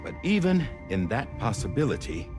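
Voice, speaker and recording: A man playing a solemn, idealistic leader, speaking in a deliberate, oratorical way in a cinematic game. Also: medium pitch, sing-song, natural voice. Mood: solemn, hopeful. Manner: deliberate, oratorical, with weighty pauses. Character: solemn, idealistic male leader, measured and sincere. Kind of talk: dramatic cinematic game dialogue, speechmaking. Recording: music behind the voice.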